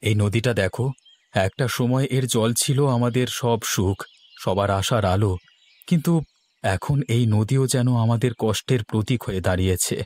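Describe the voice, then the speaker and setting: A man's voice: on the low side, normal speed, darkish tone, dry recording, natural voice. A man voicing a poor, earnest farmer in a cartoon story, speaking in a pleading, despairing way. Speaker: voice actor. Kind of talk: Bengali cartoon story acting.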